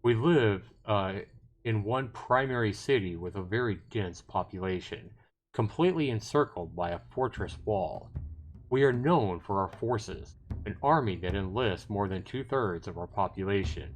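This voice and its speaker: A man reading the part of a reserved, courteous elf scout in a visual novel, measured, hesitant and polite. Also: lowish voice, expressive. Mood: grave, guarded. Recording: music behind the voice.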